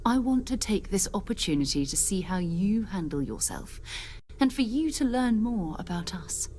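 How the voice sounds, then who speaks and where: An actress playing a seasoned, principled space explorer captain in a video game, speaking in a measured, earnest, authoritative way. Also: female voice, medium pitch, sing-song, a little mellow, a little hiss or hum, close to an everyday voice. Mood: reassuring, businesslike. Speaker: expedition leader. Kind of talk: video game character dialogue.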